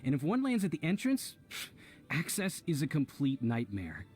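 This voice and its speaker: A man's voice: fairly high pitch, huge pitch swings, normal speed, a little mellow. A man playing a flustered, exasperated villager in a video game, complaining in a dramatic manner. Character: flustered, exasperated male villager beset by trouble. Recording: faint music behind.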